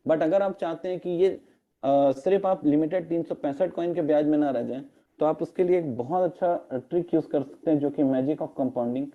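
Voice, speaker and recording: Hoarse man explaining a spreadsheet. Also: fairly high pitch, moderate pace, narrow, tinny audio. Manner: repeated "friends" address, mixes English terms into Hindi. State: hoarse throat, coughing. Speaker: crypto earnings explainer. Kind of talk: screen-share walkthrough of a spreadsheet. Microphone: online meeting audio.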